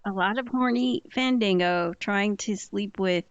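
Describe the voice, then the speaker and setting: A woman player chatting casually about a tabletop game, giggly and animated as she tells the story. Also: medium pitch, unhurried, no room sound. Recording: dull lo-fi audio.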